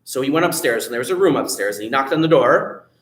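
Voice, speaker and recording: A man telling a story at a children's storytime, animated, adding sound effects and asking the children questions. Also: medium pitch, quick pace, reverberant. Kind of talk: children's storytime read-aloud.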